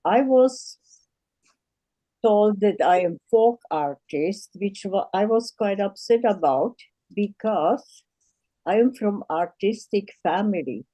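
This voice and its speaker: An older woman from Slovakia with a Slovak accent, telling her personal story as an artist in an earnest, measured way. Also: medium pitch, animated, dark tone, no echo, rough recording. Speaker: self-taught artist.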